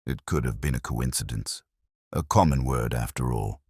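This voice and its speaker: A man narrating a scripted first-person detective story in a measured, brooding, literary manner. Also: rumbling low, darkish tone, husky, no echo, clean studio sound.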